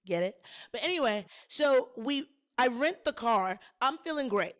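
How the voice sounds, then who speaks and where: A woman hosting a podcast, a little hoarse, chatting and telling a story straight to her listeners with plenty of emphasis. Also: a little high, animated, a little slow, a bit bright. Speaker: podcast host. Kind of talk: casual solo storytelling.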